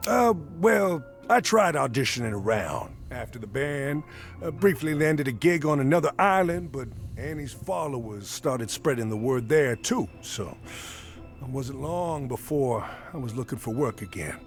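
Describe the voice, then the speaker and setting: A man's voice: mid-range voice, expressive, unhurried, faint music behind. An actor playing an earnest, chipper male musician in a narrative game, speaking in a hesitant, folksy way. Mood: wistful, subdued. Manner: hesitant, folksy, mild exclamations. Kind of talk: voiced dialogue in a narrative RPG.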